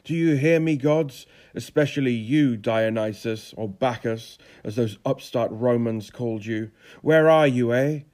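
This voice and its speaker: A man's voice: mid-range voice, measured pace, few pauses, a little mellow, lightly acted. A man voicing a flamboyant, self-pitying middle-aged playwright with a pompous, declamatory delivery, in an audiobook drama. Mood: defiant, ranting. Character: flamboyant, theatrical, grey-haired failed playwright, self-pitying and grandiose.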